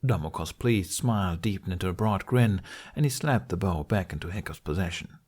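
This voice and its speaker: A man's voice: deep voice, animated, brisk, a little mellow. A man narrating scripted fiction as an audiobook reader, telling the story in prose.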